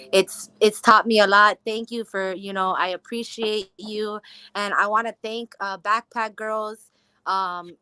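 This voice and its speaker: A young woman from Miami, speaking as an interview guest, earnest and grateful in a steady way. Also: medium pitch, clear, resonant tone, dry recording, poor audio. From Miami, Florida. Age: young adult. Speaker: guest, cannabis grower.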